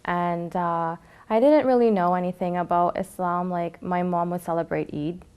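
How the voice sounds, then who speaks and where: A young woman from Canada calmly telling her personal story, reflective and soft-spoken with frequent pauses and fillers. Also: fairly low pitch, clean tone. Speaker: interviewee sharing her story. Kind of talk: personal testimony.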